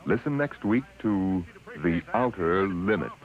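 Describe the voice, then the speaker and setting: A man with a gravelly voice narrating a dramatic 1950s radio trailer. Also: low-pitched, lively intonation, unhurried. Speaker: radio announcer. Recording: muffled vintage radio recording.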